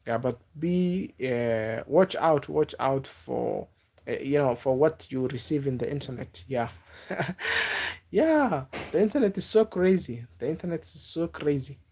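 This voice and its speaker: A man from Africa, not a native English speaker, chatting live with viewers and giving gentle advice, halting and repeating words. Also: mid-range voice, expressive. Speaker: livestreamer. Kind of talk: casual live-stream chat with viewers.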